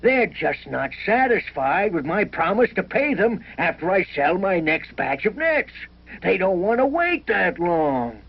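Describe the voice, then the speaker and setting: High, husky cartoon net-maker. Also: male voice, very animated, measured pace, heavily acted. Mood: worried, pleading. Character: worried pleading male net-maker, a small-business villager in cartoon. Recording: dull old 1970 film soundtrack.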